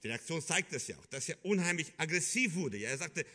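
Bright-voiced German preacher man. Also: a little high, lively intonation, a little fast. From Kyrgyzstan. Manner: earnest, hesitant with many fillers and restarts. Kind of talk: sermon.

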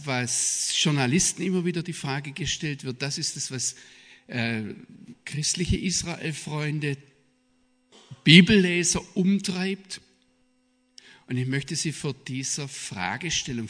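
A middle-aged man with a southwest German accent giving a public lecture in an emphatic, deliberate manner. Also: mid-range voice, a bit bright. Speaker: guest speaker, journalist and theologian. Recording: reverberant hall, long echo.

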